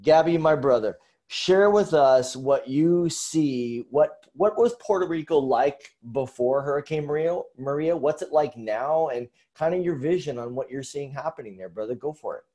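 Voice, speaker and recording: A man's voice: fairly high pitch, lively intonation, moderate pace, dark tone. A man moderating a virtual panel, speaking in a friendly, loose way with fillers.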